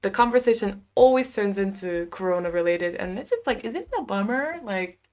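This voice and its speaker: A young woman in her twenties chatting casually on a home livestream, reading viewer comments, full of "like" fillers and quick laughs. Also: medium pitch, expressive, darkish tone. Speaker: livestream host. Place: small bedroom at home.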